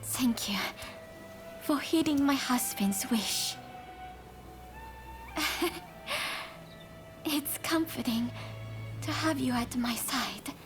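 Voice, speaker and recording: A gentle samurai's widow in a video game drama, high and slow. Her speech is soft, formal and earnest, with music clearly behind it. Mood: tender, grieving. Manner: soft, breathy, formal and measured.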